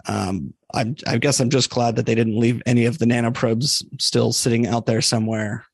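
A man speaking as a podcast guest, giving measured, somewhat hesitant opinions in a casual book discussion. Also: mid-range voice, little pitch movement, vocal fry, slightly rough, no room sound.

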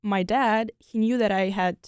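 A woman's voice: medium pitch, steady tone, a little slow, darkish tone, clean tone, no echo. Young Ukrainian woman, chatty and dry. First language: Ukrainian and Russian. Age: young adult. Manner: chatty, many fillers like "like" and "you know". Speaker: guest. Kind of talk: casual interview conversation.

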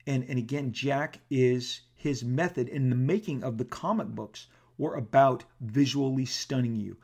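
A man talking casually about comics with a gravelly, throat-clearing voice, rambling with lots of restarts and fillers. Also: medium pitch, darkish tone. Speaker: comic book artist. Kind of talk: casual talk to camera.

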